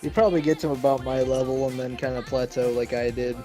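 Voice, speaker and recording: A young man co-hosting a casual gaming stream, laid-back and hesitant with lots of fillers, his voice sounding deep through a home desktop mic. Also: steady tone, quick pace, dark tone. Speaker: stream co-host. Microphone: desktop microphone. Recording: background music.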